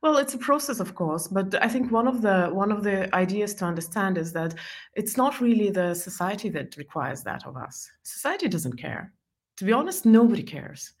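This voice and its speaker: A woman's voice: medium pitch, very animated, moderate pace. A woman from Estonia, a native Estonian and Russian speaker with a mixed accent, answering interview questions in a reflective way that often breaks into laughter. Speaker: guest.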